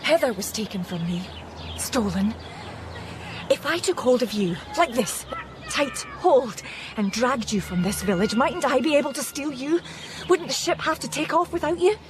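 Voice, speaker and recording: A woman's voice: high-pitched, lively intonation, moderate pace, background noise. A woman playing a grieving, frightened but defiant mother in an emotional, earnest radio drama performance. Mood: defiant, determined.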